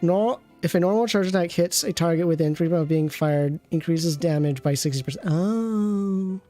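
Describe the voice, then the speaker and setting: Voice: mid-range voice, normal speed, dark tone, faint music behind. A game streamer reviewing an account with casual commentary, thinking aloud and often trailing off mid-thought.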